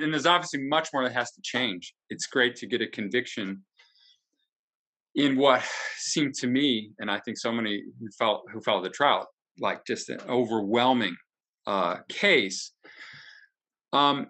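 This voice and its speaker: A middle-aged man speaking earnestly and reflectively in a group discussion, haltingly, searching for his words. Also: medium pitch, bright, forceful tone. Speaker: book discussion participant. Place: indoor room, video call. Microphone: computer mic on video call.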